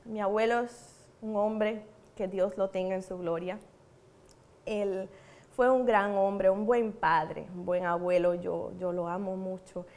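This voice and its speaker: A woman's voice: mid-range voice, fairly even intonation, takes it slowly, darkish tone. A woman with a Cuban accent giving a church teaching, telling personal testimonies earnestly and repeating words for emphasis. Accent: Cuban Spanish. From Cuba. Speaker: preacher.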